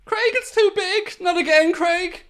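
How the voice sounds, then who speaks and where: A man voicing a shrill, panicked woman in a strained falsetto. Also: unhurried, a bit bright. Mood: shrieking panic.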